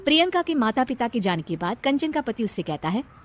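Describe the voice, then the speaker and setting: A woman with a fairly high voice serves as storyteller. She delivers scripted narration for an animated moral tale. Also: brisk, over loud music.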